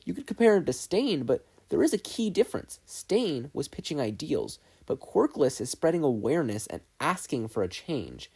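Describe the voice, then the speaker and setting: A man's voice: fairly high pitch, big pitch swings, moderate pace, gravelly, hardly any pauses, a little mellow, slightly rough, pitched above the performer's own voice, exaggerated voice. A man voicing a passionate, determined teenage boy student, earnest and insistent, in a story reading. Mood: passionate, ranting.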